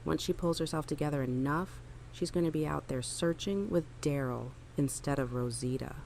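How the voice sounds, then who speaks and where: A woman acts a caring female survivor in an apocalypse audio drama. Her voice is gravelly and creaky, her words plain-spoken. Noticeable ambient noise sits behind her. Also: deep voice, moderate pace, a little mellow, poor audio. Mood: gently advising. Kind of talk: audio drama acting, naturalistic.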